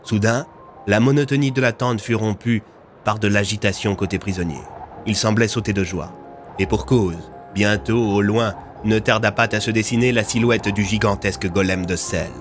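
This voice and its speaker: A man's voice: lowish voice, steady tone, normal speed, over music. A man narrating a scripted audio fiction as its storyteller.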